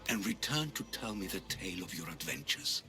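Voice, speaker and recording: A man playing a gentle, wistful old blind Persian beggar in a video game. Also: deep voice, very animated, fairly quick, over music. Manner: storytelling, courteous, wistful. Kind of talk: video game cutscene acting.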